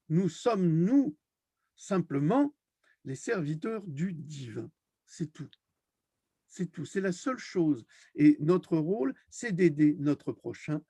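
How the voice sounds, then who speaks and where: Indignant man, creaky husky voice. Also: fairly high pitch, warm tone, dry recording. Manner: emphatic, scornful, repeats phrases for effect. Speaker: host of an online video discussion. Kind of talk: impassioned, indignant rant.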